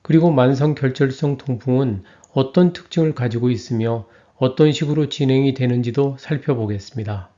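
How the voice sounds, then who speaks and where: A man reading a scripted health lecture in an even, measured way. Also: medium pitch, highs cut off.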